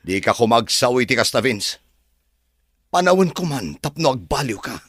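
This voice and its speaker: An actor playing a righteous man in a drama, sternly reproaching a rival in measured words. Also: mid-range voice, very animated, a bit bright, no room sound, studio quality. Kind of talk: drama dialogue.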